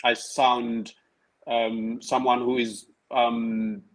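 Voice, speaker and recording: This is a man from Tigray in his thirties whose first language is Tigrinya. He is a guest in a relaxed interview and answers slowly and thoughtfully, pausing often on "um" and "uh" and restarting his sentences. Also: medium pitch, rough recording. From Tigray, Ethiopia. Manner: measured, hesitant with many fillers and restarts. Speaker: guest, writer and PhD researcher. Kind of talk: casual interview conversation.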